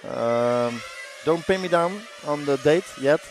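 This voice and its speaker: A man's voice: on the high side, takes it slowly. Man hosting a noisy trackside livestream. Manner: hesitant, many fillers. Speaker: livestream host. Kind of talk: casual live commentary, reading viewer questions. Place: trackside stage at a race event.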